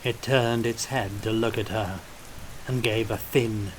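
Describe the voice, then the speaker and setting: A man's voice: on the low side, steady tone, a little slow, a little hiss or hum, poor audio. A man reading scripted fiction as an audiobook narrator, in a storytelling style.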